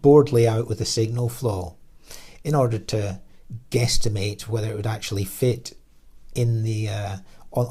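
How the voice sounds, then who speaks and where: Halting man giving a technical lecture. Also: fairly low pitch, darkish tone. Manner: halting delivery with frequent pauses mid-phrase. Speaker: radio designer and engineer presenting. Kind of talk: technical slide lecture, explanatory.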